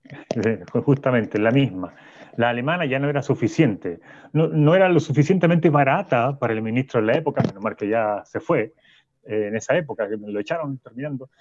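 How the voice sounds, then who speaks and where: An actor playing a weary Chilean professor interviewed in a radio drama, stammering and rambling, in slightly muffled sound. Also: male voice, medium pitch, fairly quick, a little mellow, no echo, close to an everyday voice. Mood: halting, critical. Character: male professor, weary expert guest in a bleak future. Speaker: interview guest.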